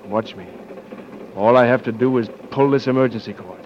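An actor playing a romantic, breezy American flyer who talks in a wry, coaxing way in a 1940s radio drama. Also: male voice, mid-range voice, fairly quick, natural voice. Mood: tender. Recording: muffled, noisy 1940s radio broadcast.

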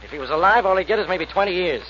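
Casting: gruff, sarcastic American cop, highish rapid voice, old radio crime show, faint hiss. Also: male voice, expressive, pushed and bright. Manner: blustery, impatient, sarcastic. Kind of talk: 1940s radio acting. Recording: muffled, noisy old radio recording.

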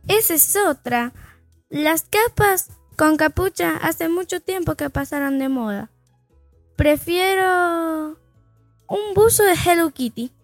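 Rebellious little fairy-tale girl, very high voice, fed up, bossy, sassy, comic audio story, faint music behind. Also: expressive, takes it slowly, dry recording, exaggerated voice. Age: young girl figure. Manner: sassy, impatient, interrupting. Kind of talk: comedic audio fiction acting.

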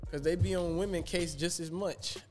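A man's voice: a little high, steady tone, normal speed, music in the background. A man, speaking emphatically and stumbling over repeated words as he makes his point. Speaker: podcast co-host. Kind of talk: casual chat.

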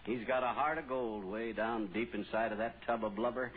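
An actor playing a blustery, wisecracking American husband with folksy, punchy delivery in 1940s radio comedy style. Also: male voice, medium pitch, steady tone, moderate pace, natural voice. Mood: jovial, wisecracking. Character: blustery, wisecracking middle-aged husband, McGee. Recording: very noisy, tinny old radio broadcast.

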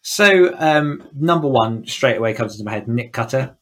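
A man's voice: medium pitch, lively intonation, normal speed, a bit bright. A man speaking casually as an interview guest, talking in an enthusiastic, effusive way. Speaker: podcast guest, horror author and coach.

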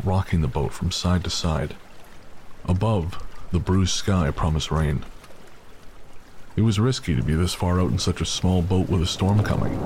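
A man's voice: low-pitched, background noise. A man giving scripted, dramatic narration for an audio story. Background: music, rain and storm sound effects.

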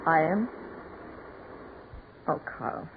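A woman's voice: low voice, drawn-out words, exaggerated voice. An actress playing an earnest, poised, reproachful society woman in a 1940s radio drama. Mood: wry, exasperated. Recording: scratchy 1940s radio broadcast, muffled, noisy.

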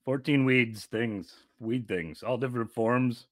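A man's voice: mid-range voice, unhurried, no echo, clean studio sound. A man co-hosting a podcast in casual chat, rambling with many restarts and giggles. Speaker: podcast co-host.

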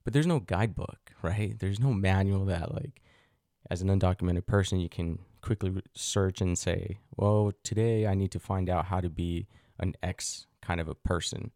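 Deep-voiced reflective man hosting a podcast. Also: normal speed, creaky, dark tone, no room sound, clean studio sound. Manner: thoughtful, poses rhetorical questions, frequent fillers. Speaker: podcast host. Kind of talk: reflective solo podcast monologue.

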